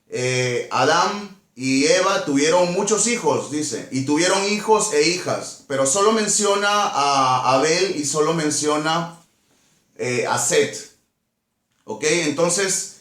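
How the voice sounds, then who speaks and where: A man's voice: fairly high pitch, fairly bright tone, reverberant. A man with a Peruvian Spanish accent teaching a Bible study, reading verses and explaining them didactically, repeating phrases and questions. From Peru.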